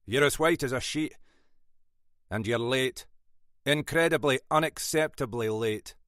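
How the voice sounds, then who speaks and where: A man's voice: medium pitch, unhurried, a bit bright, dry recording, clean studio sound, voice pushed up, natural voice. A man voicing a blunt, scolding older Scottish detective boss in an audiobook. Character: gruff older Scottish male detective boss, stern but fatherly. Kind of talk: audiobook character dialogue.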